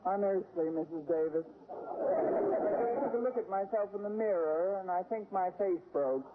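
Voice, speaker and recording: An actress playing a wry, sarcastic schoolteacher in an old radio sitcom, delivering dry wisecracks in a deadpan manner. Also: female voice, mid-range voice, measured pace, lightly acted. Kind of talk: 1940s-50s radio sitcom acting and narration. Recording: noisy, tinny vintage radio broadcast recording.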